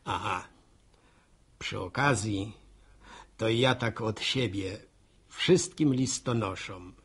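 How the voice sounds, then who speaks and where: An actor playing a jovial, folksy village postman in a radio drama, chatty and husky. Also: lowish voice, slow pace, fairly bright tone, full character voice. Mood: genial, folksy. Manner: chatty, folksy, chuckling storyteller. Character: jovial, folksy village postman giving New Year wishes.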